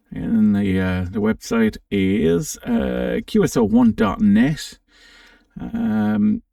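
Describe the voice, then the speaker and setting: A man giving a casual, unscripted software walkthrough, thinking aloud with some hesitation as he presents. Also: on the high side, vocal fry, clean studio sound. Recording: roomy, slightly distant microphone.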